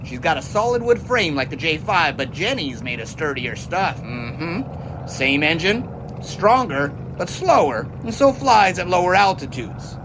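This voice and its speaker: An actor playing a cheerful, eager American test pilot of the 1920s in an audio drama, with a folksy, teasing manner. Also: male voice, a little high, big pitch swings, a little slow, background noise. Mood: jovial, teasing. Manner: folksy, jaunty, enthusiastic. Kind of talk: period audio drama acting.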